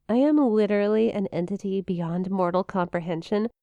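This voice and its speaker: A woman's voice: mid-range voice, dark tone, dry recording, lo-fi sound, natural voice. A woman playing a shy, playful young eldritch monster classmate in an intimate ASMR roleplay, teasing and hesitant, with a flustered stammer. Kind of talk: ASMR roleplay, intimate one-sided conversation.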